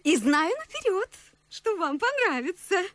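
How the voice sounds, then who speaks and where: A woman's voice: very high-pitched, very animated, unhurried, fairly bright tone, exaggerated voice. A woman playing a coy, fussing young society wife with an affected cooing manner in a classical radio play. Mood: gushing pride. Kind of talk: classical verse radio drama acting.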